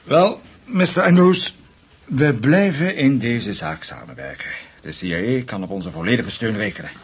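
An actor playing a measured, discreet senior German investigator in a Dutch radio play, speaking in a deliberate, formal way. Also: male voice, mid-range voice, animated, slightly rough. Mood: composed, decisive.

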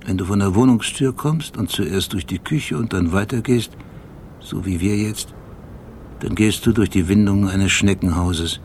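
An actor playing an obsessive, brooding middle-aged police detective with a gravelly voice, telling his memories deliberately in a radio play. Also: fairly low pitch, darkish tone, a little hiss or hum, close to an everyday voice. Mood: explaining, obsessive. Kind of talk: radio play acting, intimate monologue.

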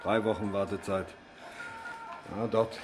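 An actor playing a blunt, busy garage boss in a radio crime drama, brusque and colloquial. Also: male voice, on the low side, fairly even intonation, a little slow, music in the background, close to an everyday voice. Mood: matter-of-fact.

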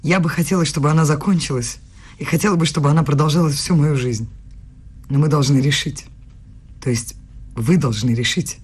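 A performer in a radio play voicing an earnest young woman scholar in love, with a husky voice and a direct, probing manner. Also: on the high side, a little fast. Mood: hurt, reproachful.

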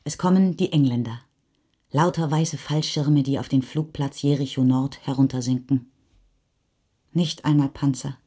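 A woman's voice: rumbling low, normal speed, dark tone, slightly rough. A woman narrating a literary chronicle for radio in a sober, factual manner.

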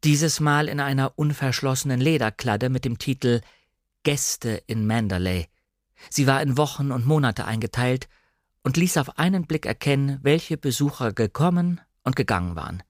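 Male narrator, standard German, medium pitch. Measured, precisely articulated literary storytelling, close and dry studio recording. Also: expressive, dry recording. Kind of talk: scripted literary narration, audiobook-like radio reading.

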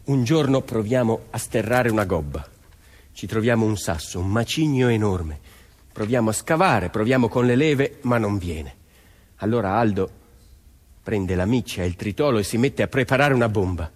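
A man acting an earnest peasant farmer who tells his family's story in expressive theatrical narration, voicing others as he goes. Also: fairly low pitch, moderate pace. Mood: hushed, tense. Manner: expressive, dramatic storytelling, voicing quoted neighbours and relatives. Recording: long reverb, music behind.

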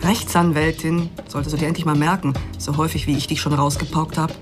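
An exacting, cutting female lawyer acted by a woman in a radio play. Her voice sits a little low and she talks like someone used to giving orders. Music is clearly audible behind her. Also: flat delivery, fast talker, close to an everyday voice. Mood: scolding. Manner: commanding, withering. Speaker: actor in a radio drama. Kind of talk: German radio drama acting.

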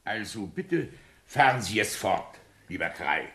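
A man's voice: lowish voice, sing-song, measured pace, fairly bright tone, slight background noise. An actor playing a dithering, self-important older school inspector in a comic radio play, hesitant and repeating his own phrases. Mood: affable, pompous.

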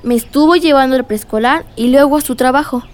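High-voiced, curious young girl, played by a female performer. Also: brisk, lightly acted. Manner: inquisitive, innocent. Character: curious, affectionate young girl asking about her mother. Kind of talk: radio drama dialogue.

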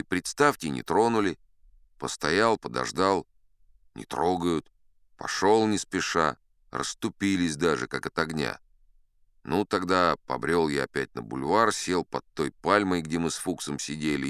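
A man's voice: extremely low pitch, a bit bright, clean tone, no echo, studio quality, exaggerated voice. A man playing an older sea captain who spins a yarn in a dramatized audiobook, wry, boastful and conversational. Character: old sea captain storyteller, folksy, boastful, dignified.

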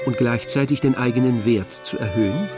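An actor playing the detached, wry narrator of a literary radio play, with a gravelly voice. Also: male voice, mid-range voice, a little fast, over music, lightly acted.

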